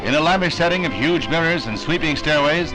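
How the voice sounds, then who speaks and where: A man's voice: fairly high pitch, lively intonation, a little fast, poor audio. An American man narrating a 1960s newsreel from a script, with a polished, declamatory announcer's delivery. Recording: vintage 1960 newsreel soundtrack, music behind.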